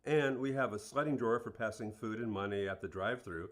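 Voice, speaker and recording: A man's voice: lowish voice, fairly quick, close to an everyday voice. Actor doing an earnest burger joint manager guy in a radio play interview, plain-spoken, matter of fact. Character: earnest, matter-of-fact male fast-food store manager.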